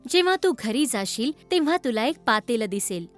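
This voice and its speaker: A woman voicing a kind, gentle fairy in a children's cartoon story, explaining things in a measured, instructive way. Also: a little high, sing-song, soft background music. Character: kind fairy granting a magical boon, gentle.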